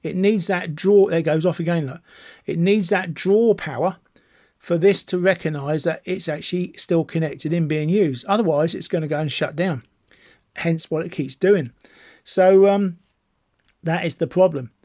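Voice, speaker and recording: A British man with a slightly high-pitched voice, recorded dry with very little room sound. He talks like an enthusiastic hobbyist presenting a home demonstration, informal and chatty, rambling along as he explains. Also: normal speed, mellow, dry recording. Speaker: amateur radio enthusiast presenting a video. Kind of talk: informal product demonstration to camera.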